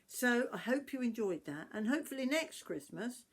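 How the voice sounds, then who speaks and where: A woman's voice: a little high, sing-song, moderate pace, husky. An older woman with a British accent, a grandmother reading a Christmas story expressively to children.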